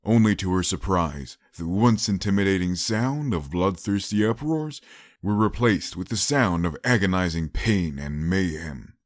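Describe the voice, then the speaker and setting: A man's voice: sits low, measured pace, no echo, studio quality. A man reading a scripted story as an audiobook narrator, in a dramatic storytelling style.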